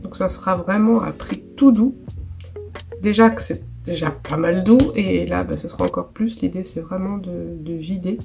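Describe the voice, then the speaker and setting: A woman in her thirties from France, hoarse with a cold, talking softly and casually in her art studio vlog, with many fillers. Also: lowish voice, moderate pace. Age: 30s. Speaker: illustrator. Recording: music playing behind.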